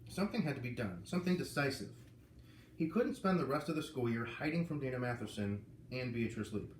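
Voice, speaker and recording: A man reads a children's novel aloud, his pitch rising and falling freely as he lifts his voice for each character. He sits in an echoey room with a faint hum of ambient noise behind him. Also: expressive, brisk, recorded from a distance. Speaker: reader.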